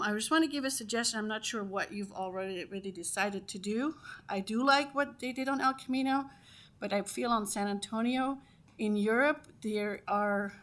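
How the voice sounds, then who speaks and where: A woman's voice: medium pitch, fairly bright tone. A woman from Belgium, giving a direct, persuasive public comment at a council meeting. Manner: direct, persuasive, rhetorical questions. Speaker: school committee president. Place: council chamber.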